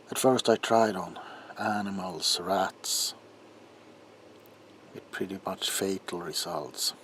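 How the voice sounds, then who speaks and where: Guy doing a troubled desperate doctor, asmr roleplay, real slow n hushed, halting, some noise in the recording. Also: low voice, exaggerated voice. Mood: desperate, confessional. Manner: halting, hushed, dropping into whispers, with sighs. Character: troubled doctor protesting innocence, desperate and haunted. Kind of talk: ASMR roleplay, dramatic monologue. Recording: audible background noise.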